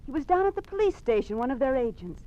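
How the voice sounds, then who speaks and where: Anxious young sweetheart, muffled old radio. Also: female voice, sits high, sing-song, fast talker, mellow. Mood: alarmed. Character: anxious, devoted young woman, the governor's secret lover. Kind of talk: old-time radio drama acting.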